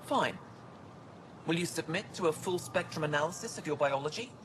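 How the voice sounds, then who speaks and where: An actor voicing a brusque older alien biologist in a video game, with a curt, formal, precise way of talking. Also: male voice, fairly high pitch, lively intonation, fairly quick, natural voice. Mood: curt, aloof. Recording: clearly noisy game ambience.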